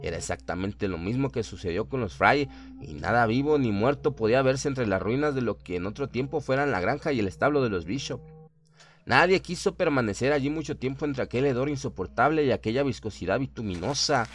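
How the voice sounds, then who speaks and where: A man's voice: medium pitch, expressive, a little fast. A man reading scripted horror narration in a dramatic storytelling style.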